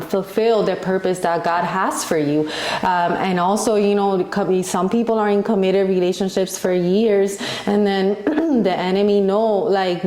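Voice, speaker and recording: A woman with a medium-pitched voice talks conversationally, as on a faith podcast. Her delivery is earnest and reflective, and the room adds a clear echo. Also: a little mellow. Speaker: podcast co-host. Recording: echoey room.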